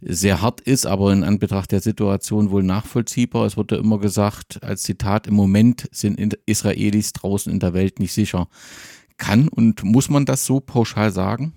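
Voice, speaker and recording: A man hosting a podcast, asking measured interview questions. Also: lowish voice, fairly even intonation, creaky, dark tone, dry recording, clean studio sound. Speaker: podcast host.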